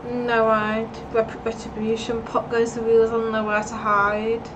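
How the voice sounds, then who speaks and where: A young woman with a fairly high voice chats casually and laughs often during a gaming stream. She sits a bit away from the mic, and a steady noise hums under her voice. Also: a little slow. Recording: distant mic with background noise.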